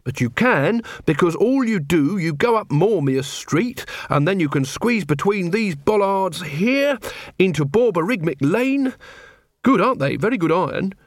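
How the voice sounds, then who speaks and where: A man voicing an earnest, polite young male constable in a storybook, calm and guileless. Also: high-pitched, dry recording, studio quality, pitched above the performer's own voice. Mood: matter-of-fact. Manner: polite, mild, unhurried.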